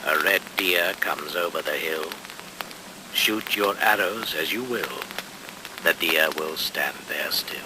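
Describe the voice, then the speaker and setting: A man playing a grave, ominous narrator of winter myth in a radio play, with a hushed, portentous delivery. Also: rumbling low, slow, background noise, heavily acted. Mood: solemn.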